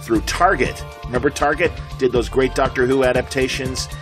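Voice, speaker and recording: A middle-aged man with an American accent and a husky voice, chatting conversationally as a podcast host. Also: medium pitch, steady tone, normal speed, over music. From United States.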